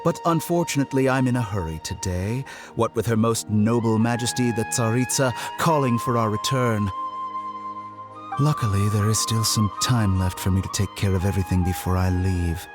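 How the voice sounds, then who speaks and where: A man's voice: fairly low pitch, natural voice. An actor playing an arrogant male doctor and scholar in a video game, mock-polite and condescending, drawing out his words for menace. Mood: veiled menace. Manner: theatrical, mock-polite, drawn-out emphasis. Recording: music behind the voice.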